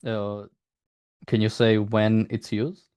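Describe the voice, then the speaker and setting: A man interviewing a developer in a conversational style, with halting, hesitant phrasing. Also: fairly low pitch, flat delivery, darkish tone, a little hiss or hum.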